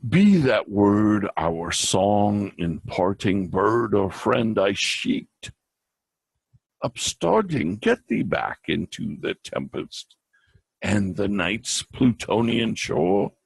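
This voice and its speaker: A man giving a theatrical dramatic poetry recitation in a gravelly, husky voice, with drawn-out pauses and emphatic repeats. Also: on the low side, sing-song, no echo. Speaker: amateur reader of a classic poem.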